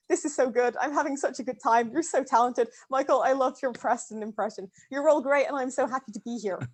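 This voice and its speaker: A woman with a high voice gives feedback in casual chat. She gushes and leans hard on her words of emphasis. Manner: gushing, emphatic. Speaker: cast member giving feedback.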